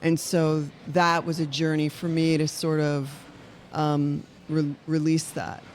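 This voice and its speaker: A woman playwright chatting on a podcast in an animated, fast-paced way, with laughing asides. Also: deep voice, a little hiss or hum. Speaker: playwright guest. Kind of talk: casual chat.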